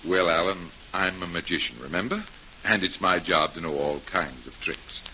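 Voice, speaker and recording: Confident low-voiced magician detective. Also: male voice, normal speed, sharp tone. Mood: explaining, assured. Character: confident male magician detective, calm and assured. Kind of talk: 1940s radio acting. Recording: dull, noisy vintage radio recording.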